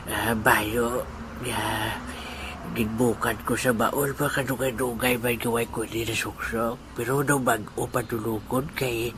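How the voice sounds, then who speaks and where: A man voicing a good-natured old grandfather, joking and laughing with his friend and muttering asides to himself in an amateur audio drama. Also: lowish voice. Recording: noisy amateur recording.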